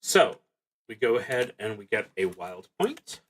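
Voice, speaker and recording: A man streaming a solo tabletop roleplaying game, thinking aloud in a halting, reflective way as he works through his moves. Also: lowish voice, big pitch swings, fairly bright tone.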